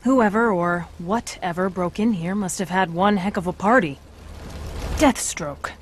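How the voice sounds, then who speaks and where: A woman's voice: fairly low pitch, unhurried, a little hiss or hum, close to an everyday voice. A woman playing a stern middle-aged government commander in a video game, speaking with authority and dry sarcasm. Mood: wry, businesslike. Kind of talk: scripted video game acting.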